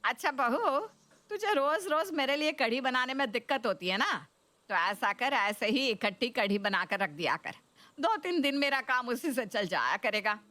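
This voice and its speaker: An older Indian mother-in-law in a cartoon story, acted by a woman, demanding and fond of her food. She coaxes and exclaims, stretching out her words in a fairly high voice. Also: animated, pushed and bright, no echo. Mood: coaxing. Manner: exclamatory, coaxing, drawn-out words. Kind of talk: Hindi cartoon story voice acting.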